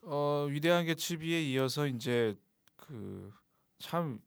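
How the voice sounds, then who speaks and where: A man's voice: on the high side, gravelly, clear, resonant tone, dry recording. Playful podcast host man, dry close sound. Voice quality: brisk, playful, with steady short agreements. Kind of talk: casual podcast chat, frequent backchannel.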